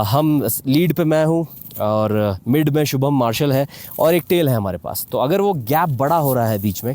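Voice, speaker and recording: A man's voice: mid-range voice, darkish tone. An Indian man gives a casual, explanatory review to viewers, mixing English phrases into his speech, in the style of a motovlogger. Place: outdoors in a mountain valley. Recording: clearly noisy outdoor ambience.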